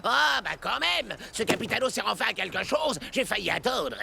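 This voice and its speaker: A man's voice: high-pitched, big pitch swings, moderate pace, vocal fry, heavy background noise, exaggerated voice. A man voicing a bossy, whiny cartoon pirate who fakes an injury, complaining theatrically and ordering others about. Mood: sneering. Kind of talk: children's cartoon voice acting.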